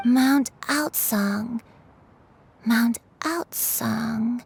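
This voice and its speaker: Slow, frail old grandmother. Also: on the high side, husky, heavily acted. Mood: puzzled. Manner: slow, wistful, hesitant. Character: frail, gentle old grandmother, forgetful and sorrowful. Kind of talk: English game dub acting.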